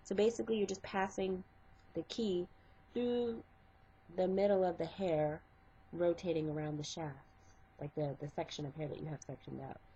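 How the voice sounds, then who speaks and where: A woman's voice: fairly low pitch, fairly even intonation, normal speed, mellow, a little hiss or hum. A middle-aged woman and hairstylist, congested from a cold, talking step by step through a casual hair tutorial. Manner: step-by-step, conversational, with fillers. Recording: dull lo-fi home recording.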